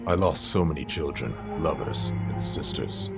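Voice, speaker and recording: A man's voice: rumbling low, lively intonation, moderate pace, creaky, loud music behind the voice, exaggerated voice. A man playing a proud, menacing, volatile warlord king in a theatrical comic dub, with a grandiose, sardonic delivery. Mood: bitter, menacing.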